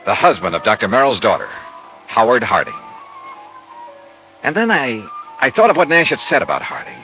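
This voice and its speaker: A man's voice: medium pitch, wildly swooping pitch, normal speed. An actor playing a hard-boiled male insurance investigator in 1950s radio style, narrating drily and questioning in clipped, insistent phrases. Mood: dry, intent. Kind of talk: 1950s radio detective acting. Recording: dull vintage radio recording, music behind.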